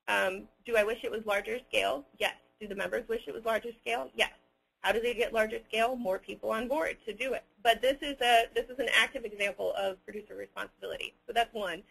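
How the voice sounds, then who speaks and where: Halting man with a creaky, bright voice. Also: sits high, low-quality recording. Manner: hesitant, halting, picking words with care. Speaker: webinar presenter answering audience questions. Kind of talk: unscripted question-and-answer talk.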